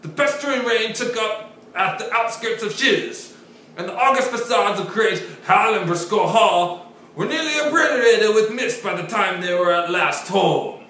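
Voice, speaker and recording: A man putting on a loud, exaggerated mock Jamaican accent. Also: expressive, moderate pace, distant mic, slight background noise. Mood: declaiming. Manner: shouted, overblown, high and loud. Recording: very echoey room.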